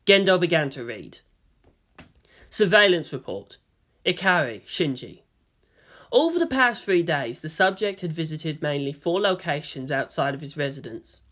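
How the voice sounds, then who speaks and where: A man reading a story as narrator in a dramatic, expressive scripted style. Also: on the high side, moderate pace. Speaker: narrator of fan fiction.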